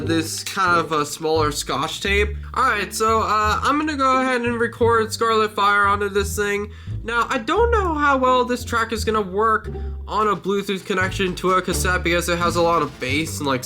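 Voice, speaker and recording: A man's voice: high-pitched, bright, forceful tone. A man talking casually and hesitantly in a rambling, informal vlog while demonstrating something. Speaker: video creator.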